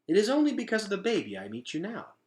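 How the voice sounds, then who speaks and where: Storybook nanny, played by a man: young woman, raised pitch, shy, earnest, formally courteous. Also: huge pitch swings, fast talker. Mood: shy, polite.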